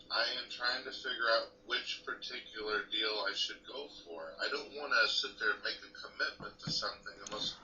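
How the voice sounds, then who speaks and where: A man's voice: fairly low pitch, moderate pace, hardly any pauses, sharp tone. A man calling on the phone, talking conversationally in an earnest, insistent way. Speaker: phone caller. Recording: distant, echoey, noisy lo-fi phone recording.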